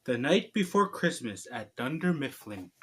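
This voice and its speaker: A man's voice: medium pitch, sing-song, moderate pace, a bit bright. A man hosting a homemade video, chatting casually and reading a storybook aloud in an informal, slightly stumbling way. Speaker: online video host and storybook reader. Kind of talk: casual vlog talk and amateur read-aloud.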